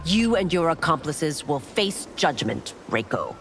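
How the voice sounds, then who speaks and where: A woman's voice: sits low, big pitch swings, a little slow, soft background music, heavily acted. A woman playing a dutiful, resolute warrior constable in a video game cutscene, earnest and formal. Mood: commanding, urgent. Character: dutiful, steadfast warrior constable woman, haunted by past failure.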